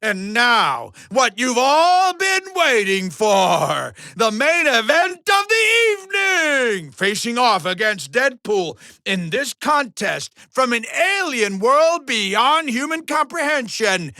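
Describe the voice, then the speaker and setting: Video game alien villain, bombastic game-show announcer, pompous and snide, bright forceful high voice, wildly swooping delivery. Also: male voice, expressive, dry recording, studio quality, full character voice. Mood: bombastic hype. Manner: pompous, drawn-out hype, snide asides. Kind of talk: theatrical game-show commentary, video game acting.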